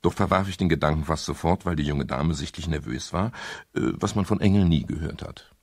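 An actor playing a wry private detective, narrating his case drily for a radio crime drama, with a gravelly, husky voice. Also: very deep voice, a little fast, no echo, clean studio sound, full character voice. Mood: wry, reflective. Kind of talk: scripted first-person radio crime narration.

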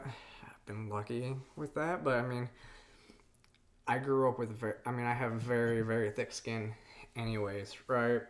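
A woman in her thirties hosting a cooking vlog, talking to camera in an earnest, rambling way from her home kitchen. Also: extremely low pitch. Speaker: cooking show host. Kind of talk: casual to-camera vlog talk. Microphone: camera on the counter at mid distance.

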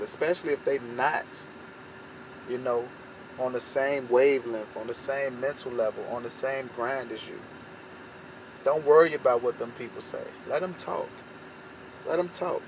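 Young American man, motivational vlog, repetitive emphatic phrasing, mid-range pitch, clear background vehicle noise. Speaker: vlogger giving motivational talk. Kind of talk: informal motivational monologue to camera. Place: inside a parked car at night. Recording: clearly noisy vehicle ambience.

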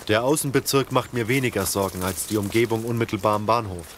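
A man playing a calm, strategic leader of survivors who explains things in a measured way in an audio drama. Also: fairly low pitch, a little hiss or hum, lightly acted. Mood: earnest, calm.

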